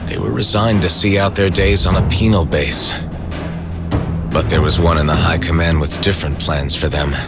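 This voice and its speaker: An actor playing a solemn storyteller, giving measured, scripted narration in a video game. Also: male voice, sits low, steady tone, over loud music. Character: solemn male storyteller recounting past events.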